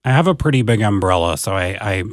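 A man, talking slowly as a podcast guest, with many ums and restarts. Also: on the low side, animated, no echo, studio quality. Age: 40s. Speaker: district advanced academics coordinator.

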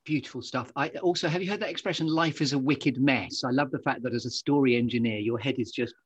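A man with a husky, mid-pitched voice interviews in an effusive, conversational way. The sound is dry and a little dull, like a lo-fi microphone. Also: quick pace, no echo. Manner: effusive, enthusiastic. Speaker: podcast host.

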